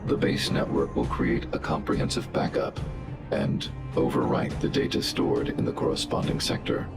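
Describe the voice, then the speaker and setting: Svarog, deep gravelly robot. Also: male voice, normal speed. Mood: measured. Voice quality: deep mechanical monotone, precise. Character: huge calm robot overseer, male. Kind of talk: game cutscene voice acting. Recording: studio dub with music underneath.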